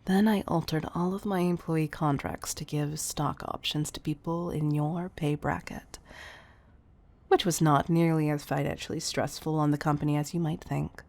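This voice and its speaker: A woman playing a wealthy, obsessive CEO in an intimate audio roleplay, speaking in a breathy, gravelly murmur that is affectionate and confessional. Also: deep voice, steady tone, normal speed, darkish tone. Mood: confiding, possessive. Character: wealthy obsessive female CEO, tender yet controlling. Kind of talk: intimate audio roleplay monologue, partly whispered.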